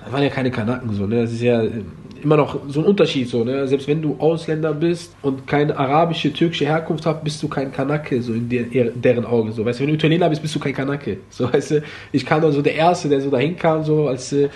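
A man's voice: medium pitch, fairly even intonation, quick pace, hardly any pauses, a little mellow. A man born and raised in Germany, a guest telling stories in a casual interview, laid-back and rambling with lots of fillers. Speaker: podcast guest, former online comedian.

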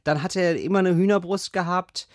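A man's voice: high-pitched, fairly even intonation, a little fast, no echo, clean studio sound. A man in his thirties co-hosting a podcast, casually retelling a book as a chatty storyteller with lots of fillers and restarts. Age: 30s. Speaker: podcast co-host.

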